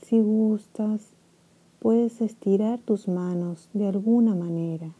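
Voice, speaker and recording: A woman leads a body-scan meditation for sleep. Her voice is deeply mellow and warm, and she moves very slowly, letting phrases hang with long gentle pauses. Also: fairly even intonation, breathy edge, highs cut off. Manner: slow, soft, soothing with long pauses. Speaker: meditation guide.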